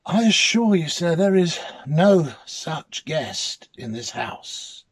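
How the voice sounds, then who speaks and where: A man acts out a dismissive male hotel clerk in a dramatic tale. The voice sits higher, with a firm, formal and exasperated manner. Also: very animated, takes it slowly, no echo, full character voice. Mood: firm denial.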